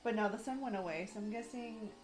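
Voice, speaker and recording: A woman in her thirties chatting casually at home in a rambling vlog, full of "like" fillers, her throat a little raspy. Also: mid-range voice, quick pace. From United States. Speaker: lifestyle vlogger. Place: home, indoors.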